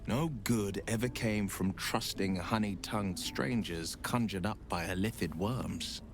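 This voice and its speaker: Gallant, low-voiced adventurer over music. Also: male voice, unhurried. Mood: wary, firm. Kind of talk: video game voice acting.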